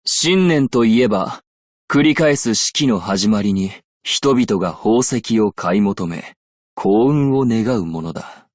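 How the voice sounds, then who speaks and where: A man playing a composed, shrewd and dignified desert merchant in a Japanese game, speaking in a measured, formal way. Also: fairly low pitch, lively intonation, a little mellow, no room sound. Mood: calm, reflective. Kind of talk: Japanese game character voice lines.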